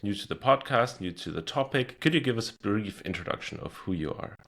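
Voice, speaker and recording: A man's voice: on the low side, lively intonation, a little fast, vocal fry, a bit bright. A man hosting a podcast, opening the show and interviewing his guest in an upbeat, informal way. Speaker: podcast host.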